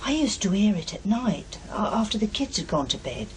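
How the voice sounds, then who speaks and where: A woman's voice: mid-range voice, very animated, fairly quick, lightly acted. Woman acting a chatty blunt english working class mum, radio play, voice goes up and down loads, bit of noise. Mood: chatty recounting. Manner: colloquial, blunt, nagging. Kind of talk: naturalistic radio drama acting.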